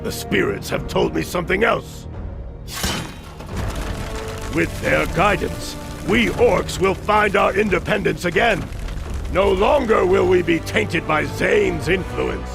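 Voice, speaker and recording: Earnest young-sounding orc royal, high raspy growl, lively, video game, music under it. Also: male voice, slow, exaggerated voice. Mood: earnest resolve. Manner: earnest, declamatory. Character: proud, earnest male orc prince, spiritual and brash. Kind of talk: fantasy video game cutscene acting.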